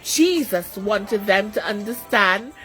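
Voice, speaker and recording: A woman storyteller reading a scripted children's story with expressive narration. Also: mid-range voice, slow pace, faint music behind, poor audio.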